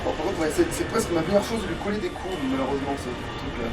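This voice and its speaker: A man's voice: on the high side, animated, fast. A man giving casual gaming commentary while he plays, swearing freely and often restarting his sentences. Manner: crude, swears freely, rambling and self-interrupting. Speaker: let's play gamer at the controls. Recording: distant mic with faint background noise.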